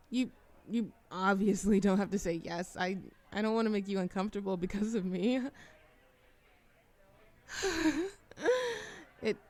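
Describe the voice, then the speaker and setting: A woman's voice: medium pitch, normal speed, a little mellow, natural voice. A woman playing a shy, socially anxious young woman in a café audio roleplay, chatting in a hesitant, self-deprecating ramble. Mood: flustered, hopeful. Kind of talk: roleplay ASMR audio drama, conversational monologue.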